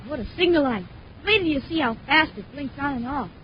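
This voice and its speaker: A wide-eyed American kid gushes in a very high, swooping voice. It is a 1950s radio commercial in muffled sound. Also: female voice, lively intonation, fairly quick, a little hiss or hum. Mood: excited. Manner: gushing, childlike.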